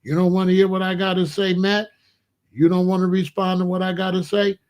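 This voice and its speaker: A man's voice: high-pitched, level tone, fairly quick, darkish tone. Blunt confrontational man ranting to his chat. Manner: blunt, repetitive, confrontational, calls out a chatter. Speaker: host of a live commentary stream. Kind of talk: unscripted live-stream commentary, talking to chat.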